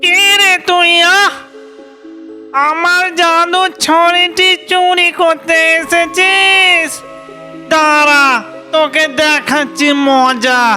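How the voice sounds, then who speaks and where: A woman voicing a wicked, menacing cartoon genie who threatens and gloats slowly, with music under the voice. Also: very high-pitched, steady tone, sharp tone, pitched above the performer's own voice, exaggerated voice. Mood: angry, threatening. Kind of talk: children's fairy-tale cartoon acting.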